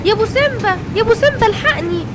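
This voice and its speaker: A shrill, bossy Egyptian lioness mom. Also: female voice, racing, crowd noise, noisy background. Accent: Egyptian Arabic. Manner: bossy, excitable and demanding. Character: fussy mother lioness, the king's wife.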